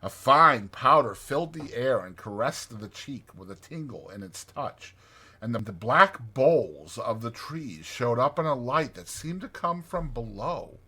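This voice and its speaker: Expressive man narrating, bright husky voice. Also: mid-range voice, unhurried. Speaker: audiobook narrator.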